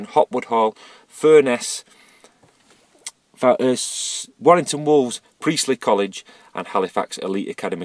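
A man who is losing his voice, reading amateur rugby league results and fixtures in a steady, list-like way. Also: lowish voice, no echo.

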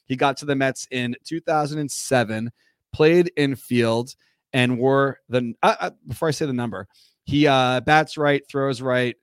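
A man co-hosting a casual sports fan show, chatty and rambling with lots of fillers, a little hoarse from a cough. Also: mid-range voice, measured pace, no room sound. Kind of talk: casual chat.

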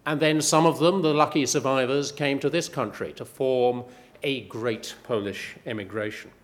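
A middle-aged male professor giving a prepared, measured talk with dry, wry asides. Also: on the high side. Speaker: professor giving a book pitch.

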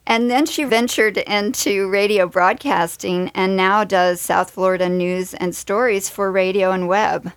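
A woman's voice: medium pitch, steady tone, measured pace, hardly any pauses, dry recording. A woman hosting a radio show from a studio, introducing segments and interviewing guests.

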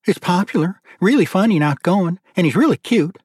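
An eager teenage girl, voiced by a male narrator in an audiobook, pitched well up. She sounds girlish and sassy, gushing and playful, in a dry, close recording. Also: animated, brisk, a little mellow, slightly rough, no echo. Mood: excited.

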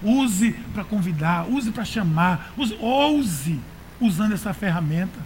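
A Brazilian man with a high-pitched voice delivers a conversational sermon. He exhorts his listeners in everyday language and repeats phrases to drive them home. Light noise sits behind his voice. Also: animated, measured pace, a little mellow. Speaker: pastor.

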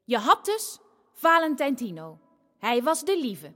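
A woman's voice: sits high, very animated, unhurried, a bit bright, heavily acted. An actress playing a young eldest daughter who tells her family's story in a radio play, plainly and with childlike simplicity. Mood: matter-of-fact, sad. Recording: prominent music behind the voice. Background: music.

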